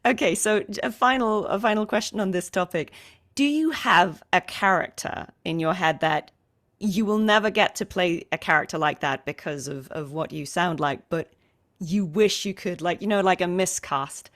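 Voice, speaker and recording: A woman hosting a casual interview, chatty and giggly, quick to agree with her guest. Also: fairly low pitch, fairly bright tone. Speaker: interview host.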